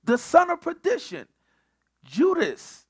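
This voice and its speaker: A man's voice: very high voice, huge pitch swings, slow. A male pastor preaching at a midweek Bible study, building on repeated phrases and often stumbling over restarts.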